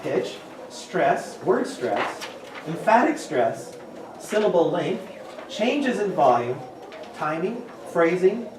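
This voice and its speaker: A man's voice: sits high, very animated, takes it slowly, full character voice. A man performing a pompous, grandiose orator in a readers' theater piece. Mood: lofty. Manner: grandiose, declamatory. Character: pompous, theatrical male orator scorning his interrupters. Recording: distant, echoey, noisy room recording.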